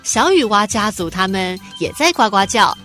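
A woman narrator tells a storybook-style radio tale. Her voice is bright and fairly high with lively swings in pitch, over light music. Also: normal speed.

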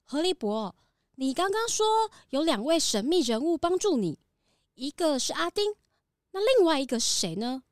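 A woman voicing an excitable, curious young townsperson in a children's radio drama, wide-eyed and exclaiming. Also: sits high, normal speed, dry recording, clean studio sound.

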